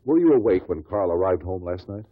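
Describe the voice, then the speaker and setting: Courteous investigator, American, husky, probing, animated pitch, formal questioning, old radio drama, dull lo-fi sound. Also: male voice, brisk, slight background noise. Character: probing, courteous male amateur investigator at an inquest. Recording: dull lo-fi 1950s radio recording.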